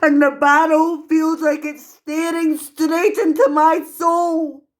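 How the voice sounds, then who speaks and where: A woman with a Scottish accent performing a dramatic, emotive solo stage monologue as a traumatised young woman raised in an orphanage, pausing deliberately. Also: sits high, steady tone. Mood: terrified, anguished.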